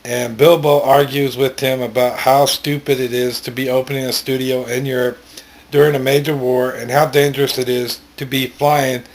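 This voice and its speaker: A man narrating a story in an amateur read-aloud style, plainly, with pauses and stumbles. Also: mid-range voice.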